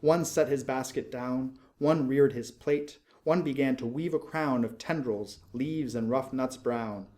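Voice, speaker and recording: A man reading narrative verse aloud in a measured, expressive, literary storytelling manner. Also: mid-range voice. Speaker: poetry reader.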